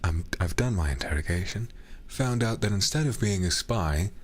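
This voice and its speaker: A man's voice: low-pitched, animated, moderate pace, slightly rough. A man playing a smug demon captor in an intimate ASMR roleplay, teasing and condescending as he drawls his mock-pitying taunts. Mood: smug taunting.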